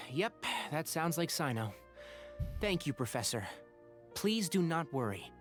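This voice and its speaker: An actor playing an earnest, polite young man, calm and thoughtful, in an anime game. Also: a little high, takes it slowly. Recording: game music behind.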